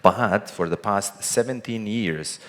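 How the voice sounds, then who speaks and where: A man's voice: fairly low pitch, takes it slowly, a bit bright. A man speaking earnestly and emphatically on a panel, repeating phrases for weight. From Montenegro. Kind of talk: earnest public panel speech. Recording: echoey hall with long reverb.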